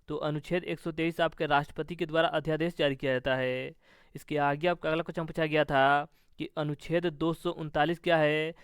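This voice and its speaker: Clear, even-toned man teaching. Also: a little high, no echo, studio quality. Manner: methodical, repetitive question-then-answer delivery. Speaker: exam coaching teacher. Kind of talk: instructional question-and-answer exam review.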